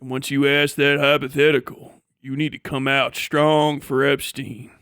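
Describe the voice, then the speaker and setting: A man voicing a menacing masked thug who gives threatening, deliberate orders in a comic livestream bit. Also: mid-range voice, flat delivery, fairly bright tone, clear, resonant tone, no room sound, studio quality, voice pushed down, lightly acted.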